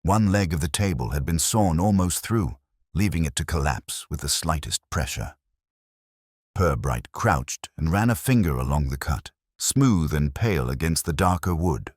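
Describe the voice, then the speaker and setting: A man reading scripted mystery fiction in a measured, literary storytelling manner. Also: rumbling low, expressive, slightly rough, no echo, clean studio sound. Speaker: narrator.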